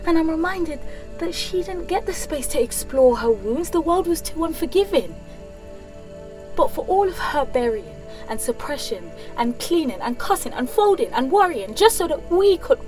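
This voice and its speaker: A woman's voice: high voice, poor audio. An actress playing an anguished young woman in a first-person audio drama monologue, intense and raw, lingering on her words. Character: anguished young woman confronting her wounded mirror self. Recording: music behind the voice.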